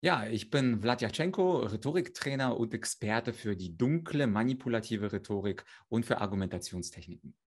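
Flüssig erklärender Rhetorikexperte, tiefe Stimme. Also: male voice, on the low side, lively intonation, normal speed, hardly any pauses, husky. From Ukraine. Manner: fluent, explanatory. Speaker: rhetoric trainer, guest. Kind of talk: expert interview.

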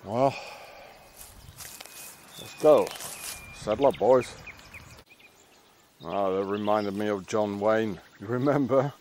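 A man's voice: on the low side, takes it slowly, long pauses, darkish tone, slight background noise. Middle-aged man ranting outdoors. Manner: meandering, ranting, with tag questions. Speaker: vlogger. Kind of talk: rambling outdoor monologue. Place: outdoors in the countryside, walking a path.

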